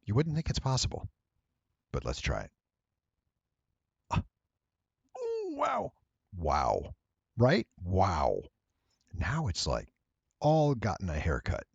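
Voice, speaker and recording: Male tutorial presenter, deep and creaky, rambling and playful, slightly dull recording. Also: wildly swooping pitch, slow pace, long pauses, dry recording. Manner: rambling, playful, exclaiming to viewers. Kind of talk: casual software walkthrough, thinking aloud.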